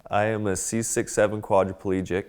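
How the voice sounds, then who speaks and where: Casting note: man, thirties, smooth clear voice, calm and simple. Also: on the low side, brisk. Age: 30s. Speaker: interviewee. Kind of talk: documentary interview.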